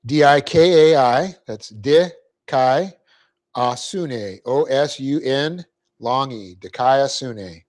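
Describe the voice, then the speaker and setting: Man, Bible class teacher, verse-by-verse lecture, explains Greek grammar, spells out words, clears throat, says "okay" a lot. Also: medium pitch, very slow, darkish tone.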